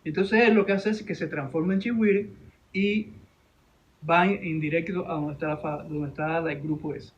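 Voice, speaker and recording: A man with a Venezuelan accent telling a story informally in an interview, halting and repeating his words. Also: fairly high pitch, fairly even intonation, muffled recording. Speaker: interview guest, animation director and teacher.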